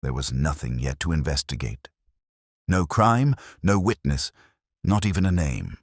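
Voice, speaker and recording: A man playing a brooding, erudite middle-aged detective, narrating an audio drama in measured, reflective, literary phrases. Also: a bass voice, big pitch swings, no room sound, studio quality, heavily acted. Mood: brooding, wistful. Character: brooding, erudite male English police detective, melancholy, observant.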